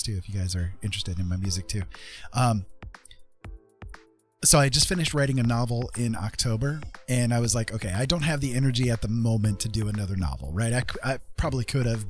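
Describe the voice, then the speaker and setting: A man hosting a livestream, talking in a rambling, self-deprecating, casual way. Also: fairly low pitch. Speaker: livestream host, author and artist.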